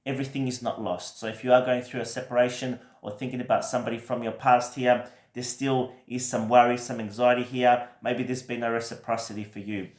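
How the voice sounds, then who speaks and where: A man with a croaky voice from a recent cold, giving a gentle, reassuring weekly tarot reading with many fillers. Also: mid-range voice, flat delivery, brisk, hardly any pauses, reverberant. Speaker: tarot reader.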